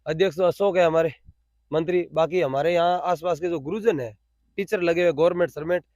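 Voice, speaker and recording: A man's voice: fairly high pitch, a little fast, a little mellow, no room sound, studio quality. A man with a Rajasthani accent explaining things in spontaneous interview answers, repeating phrases for emphasis. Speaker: interviewee, cow shelter volunteer.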